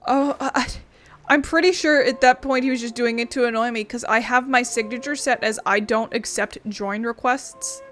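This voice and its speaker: A woman's voice: a little high, steady tone, normal speed, a bit bright. A woman giving casual let's play commentary as a gaming streamer, sweary and rambling.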